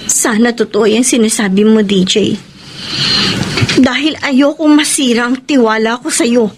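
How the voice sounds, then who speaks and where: A woman's voice: on the high side, unhurried, lightly acted. A woman with a Filipino accent playing a jealous, hurt young mother and girlfriend in a radio romance drama. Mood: suspicious, hurt. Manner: emotional, suspicious. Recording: music bed underneath.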